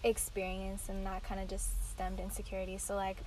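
Soft-spoken young woman, lots of fillers. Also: medium pitch, monotone, a little fast, faint music behind. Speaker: discussion leader. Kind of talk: casual group chat.

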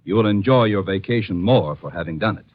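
A man's voice: sits low, animated, fast. An American man announcing formally and carefully in the style of 1950s network radio. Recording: muffled 1950s radio recording.

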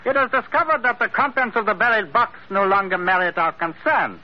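An actor playing a small, polite, calculating villain who speaks in formal, stilted phrases in an old-time radio adventure. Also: male voice, high voice, expressive, fairly quick. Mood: smooth, polite. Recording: muffled old radio recording.

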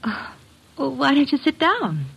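A woman's voice: high-pitched, big pitch swings, unhurried, vocal fry, full character voice. An actress playing a nosy, coquettish, petulant society woman, breezy and coaxing, in an old radio drama. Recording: dull lo-fi old radio recording.

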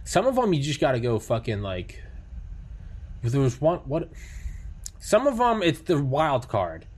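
A man talking as a podcast host in loose, casual conversation. He rambles with enthusiasm, swearing freely and tripping over filler words as he gets carried away. His pitch sits right in the middle for a man. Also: wildly swooping pitch, unhurried, a little mellow, husky. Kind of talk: casual chat.

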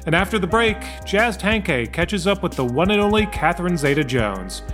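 A man's voice: sits high, moderate pace, over music. A man hosting a podcast, chatting loosely with a guest and reading scripted segment intros. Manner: lively, mixes loose chat with read-out intros. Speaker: podcast host.

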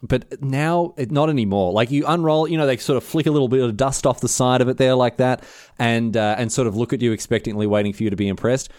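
A man's voice: mid-range voice, fast talker, a little mellow, no echo. Chatty Australian man, dry close sound. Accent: city Australian. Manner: chatty, joking, many restarts. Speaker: podcast co-host. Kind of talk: casual chat.

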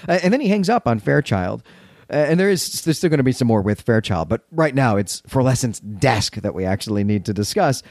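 A man's voice: mid-range voice, big pitch swings, brisk, darkish tone, dry recording, clean studio sound. A man co-hosting a podcast, giving a conversational, wry recap and commentary on a story. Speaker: podcast co-host.

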